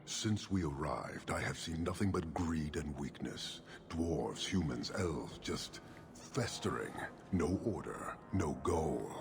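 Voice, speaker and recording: Very deep, gravelly horned giant commander. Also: male voice, exaggerated voice. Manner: measured, formal, disdainful pronouncements. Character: stern, contemptuous giant horned warrior commander. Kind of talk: video game cutscene acting.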